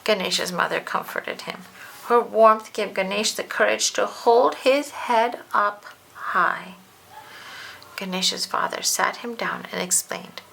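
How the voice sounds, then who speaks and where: A woman narrating a children's picture book aloud, expressively and with deliberate emphasis. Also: medium pitch, vocal fry, fairly bright tone, poor audio. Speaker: storybook narrator. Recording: faint background noise.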